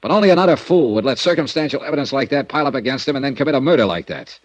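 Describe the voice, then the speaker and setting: A man's voice: mid-range voice, lively intonation, racing, no room sound, highs cut off, lightly acted. A man playing a hard-boiled male insurance investigator in a 1950s radio drama, with clipped, dry tough-guy delivery, narrating and questioning. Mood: blunt. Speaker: lead actor and narrator.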